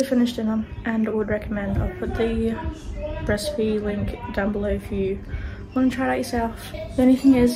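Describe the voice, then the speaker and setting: A young adult woman with an Australian accent, chatting casually and informally to camera as a vlogger. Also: medium pitch. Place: varies: car, backyard, kitchen, bedroom. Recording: noisy home-shot vlog audio.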